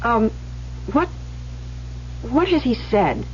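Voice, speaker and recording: A worldly, protective young woman in vintage radio drama, coaxing and probing. Her voice sits fairly high with lively inflection. The old recording is dull and a little noisy. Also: takes it slowly. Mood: probing, uneasy. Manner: coaxing, probing, hesitant when worried. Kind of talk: 1970s radio drama acting.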